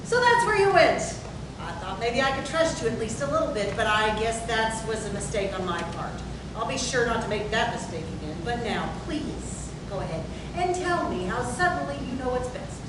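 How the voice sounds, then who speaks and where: Woman performing indignant boss, biting sarcasm, theatre reading, strong room echo, background rumble noise. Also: sing-song, moderate pace. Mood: scornful. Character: indignant, sarcastic boss who was attacked. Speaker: actor at a play table reading. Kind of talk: staged dramatic reading. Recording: echoey room from far off, noisy rumble.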